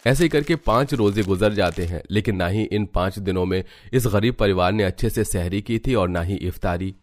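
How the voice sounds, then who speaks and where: Low-pitched male story narrator, dry sound. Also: normal speed, no echo. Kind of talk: scripted Hindi story narration.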